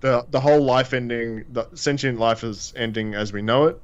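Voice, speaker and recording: A man's voice: mid-range voice, moderate pace, smooth, dull audio. Chatty Australian man, rambling podcast host. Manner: rambling, many fillers and restarts. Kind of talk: casual chat.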